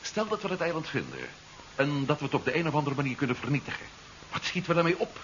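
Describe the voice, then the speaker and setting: Decisive, bright-voiced radio drama hero. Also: male voice, fairly high pitch, expressive, quick pace. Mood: sceptical, practical. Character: decisive male science-fiction hero, urgent and sceptical. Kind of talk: 1970s Dutch radio drama acting. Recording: dull hissy 1970s radio recording.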